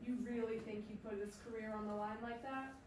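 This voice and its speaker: Woman playing a caring wife, very echoey, high-pitched. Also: fairly even intonation, a little fast, darkish tone, slight background noise, lightly acted. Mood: firm, soothing. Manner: coaxing, reassuring. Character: caring, firm wife of a ballplayer. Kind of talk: staged play reading. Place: performance room, recorded from a distance. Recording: very echoey room, distant mic.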